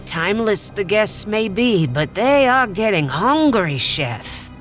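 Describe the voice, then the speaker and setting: A woman acts out an eerie waitress in an audio drama. Her delivery is theatrical and very slow, with pitch that moves widely over a music bed. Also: sing-song, a bit bright. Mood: polite warning. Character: waitress serving eerie timeless dinner guests.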